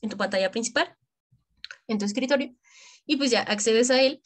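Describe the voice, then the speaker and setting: High-voiced young Mexican woman, informal tutor. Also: moderate pace. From Michoacán, Mexico. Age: young adult. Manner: colloquial, slangy, addressing the listener directly. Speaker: tutorial presenter for medical students. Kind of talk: informal screen-share tutorial.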